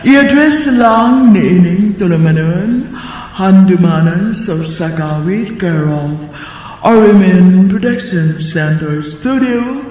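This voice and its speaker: A man's voice: high-pitched, expressive, slow, a little mellow. A man with a Cebuano Filipino accent reading scripted narration as a radio drama announcer. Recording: very echoey, distant, long reverb, music behind.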